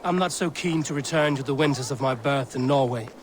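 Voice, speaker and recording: A man's voice: medium pitch, brisk, close to an everyday voice. A man playing a cool, determined Norse warrior in a video game, outdoor noise behind. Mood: matter-of-fact. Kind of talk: dramatic video game dialogue.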